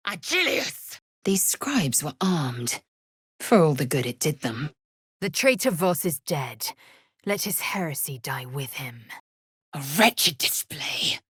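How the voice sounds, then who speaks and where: A proud alien warrior woman for a fantasy video game. Her voice sits a little low, with a rough husk and wide, dramatic swings of pitch. She speaks deliberately, in curt, commanding phrases full of scorn. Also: big pitch swings, fairly bright tone, dry recording, studio quality, heavily acted. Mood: fierce battle casting, then cold disdain. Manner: imperious, clipped, disdainful.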